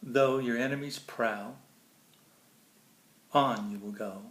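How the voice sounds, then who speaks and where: A man's voice: medium pitch, takes it slowly, fairly bright tone. A man reading a children's picture book aloud in a theatrical, playful way, with casual asides about the pictures. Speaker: storybook reader. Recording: roomy echo, faint background noise.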